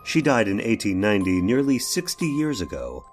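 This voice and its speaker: Genteel ailing inventor with a secret: low, highly animated, polite and halting, old-time radio horror, faint music behind. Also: male voice. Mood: polite, uneasy. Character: courteous, ailing, secretive inventor of artificial limbs confessing.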